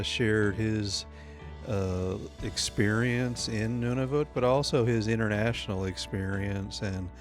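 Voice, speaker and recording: A man hosting a podcast and introducing his guest, speaking hesitantly with many filler pauses. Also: low-pitched. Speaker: podcast host and course instructor.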